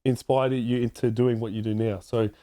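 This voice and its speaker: A man hosts a podcast with his voice stuffed up and nasal from a cold. His tone is dark and mellow, and he hesitates with many fillers. The sound is dry and close, with no room. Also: medium pitch, little pitch movement, normal speed, no echo. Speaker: podcast host. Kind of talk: casual podcast chat.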